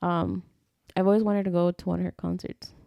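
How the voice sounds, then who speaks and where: A woman's voice: lowish voice, level tone, a little fast, gravelly, no room sound, lo-fi sound. A young woman co-hosting a podcast, chatting casually and mixing Spanish into her English, with lots of "like" fillers. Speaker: podcast co-host. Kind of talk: casual chat.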